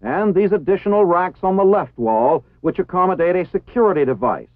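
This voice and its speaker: A man's voice: high voice, expressive, brisk, no echo. Tinny vintage American male film narrator. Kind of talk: formal scripted instructional narration. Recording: tinny phone-like 1960s film soundtrack.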